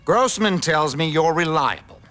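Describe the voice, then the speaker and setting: An actor playing a smarmy, self-absorbed, cynical politician in a video game, glib and theatrical. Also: male voice, a little high, expressive, unhurried, fairly bright tone, soft background music.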